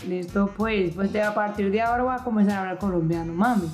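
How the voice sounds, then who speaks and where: A woman's voice: medium pitch, quick pace, darkish tone, roomy sound, soft background music. A woman co-hosting a podcast who chimes in with playful joking remarks in casual chat. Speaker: podcast co-host.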